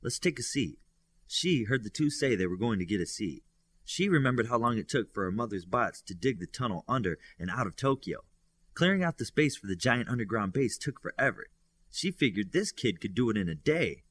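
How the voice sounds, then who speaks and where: A man's voice: fairly low pitch, big pitch swings, fairly quick, a bit bright. A man reading scripted narration from a superhero novel as an audiobook reader.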